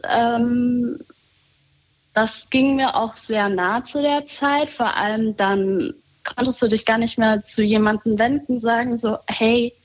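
A woman talking casually on a call, reflective and soft-spoken, often laughing lightly. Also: fairly high pitch, fairly even intonation, slow, highs cut off. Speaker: guest.

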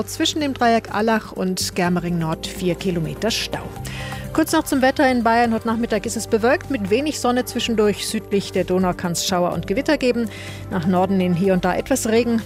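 A woman presenter reads traffic, weather and headlines in a radio studio with crisp, even broadcast poise. Her voice sits in a middle register, and a faint music bed murmurs underneath. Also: mid-range voice. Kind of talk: read news, traffic and weather bulletin.

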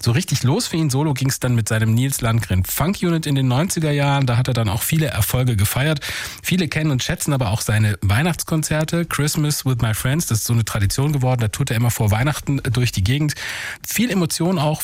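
A man's voice: mid-range voice, animated, fairly quick, a little mellow, dry recording. A male radio host giving a fluent, friendly recap of an interview on air.

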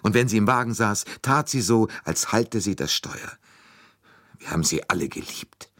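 A man voicing an excitable, defensive Italian man who protests with scornful, indignant emphasis in an audiobook story. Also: on the low side, moderate pace, no echo, studio quality, voice pushed up, natural voice. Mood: indignant, then tender.

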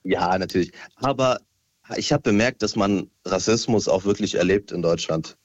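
A man's voice: on the low side, moderate pace, dry recording. Young male caller on a muffled line. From Syria. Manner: relaxed, with hesitant fillers. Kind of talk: casual phone call to a radio show.

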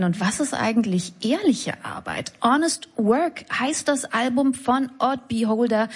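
Breathy-voiced woman radio host. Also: medium pitch. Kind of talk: radio interview and announcing. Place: radio studio.

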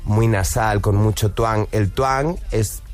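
A man's voice: lowish voice, fairly even intonation, slow pace, soft background music. A man who works as a musical theatre actor answers an interview question about his own voice. He sounds nasal and a little congested from a cold, and he explains his points with examples.

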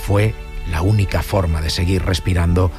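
A man reading as a deep, solemn exile narrator. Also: fairly even intonation, close to an everyday voice. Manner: slow, solemn recitation over music. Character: grave male narrator of an exile story. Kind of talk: dramatic literary reading. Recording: reading over music.

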